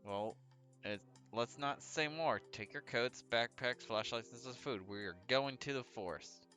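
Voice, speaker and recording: A bright, emphatic teenage boy from a visual novel, read out by a man, taunts and bosses his friends. His voice is forceful and pointed, with music behind it. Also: medium pitch, unhurried. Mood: eager.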